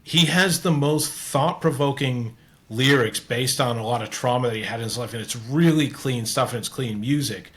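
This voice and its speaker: A man's voice: mid-range voice, normal speed. A man with a Canadian accent hosting a casual interview, talking earnestly and rambling with many restarts. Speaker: podcast host.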